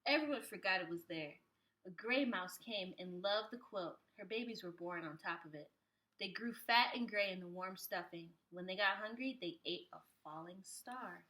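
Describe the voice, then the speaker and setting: Casting: female preschool storyteller, bright voice at medium pitch, expressive delivery for a children's picture book. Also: rough recording. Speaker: preschool teacher reading aloud.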